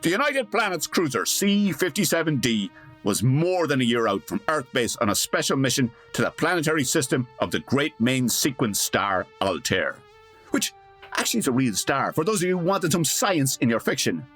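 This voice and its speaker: A man's voice: mid-range voice, big pitch swings, normal speed, fairly bright tone, faint music behind. A man playing a chatty, wry old-time radio announcer, theatrical and pompous, given to tongue-in-cheek asides. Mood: mock-solemn, wry. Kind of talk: theatrical scripted radio narration with comic asides.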